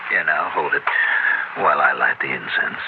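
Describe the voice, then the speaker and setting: An actor playing a cold, commanding occultist in a radio horror drama, curt and solemn as a ritual leader. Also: male voice, extremely low pitch, moderate pace. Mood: cold, controlled. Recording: noisy, muffled 1970s radio recording.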